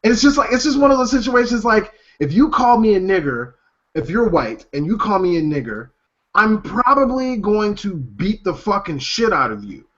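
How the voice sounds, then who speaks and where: Male podcast co-host, slightly high voice, blunt and profane, dull lo-fi sound. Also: big pitch swings, normal speed. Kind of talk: casual chat.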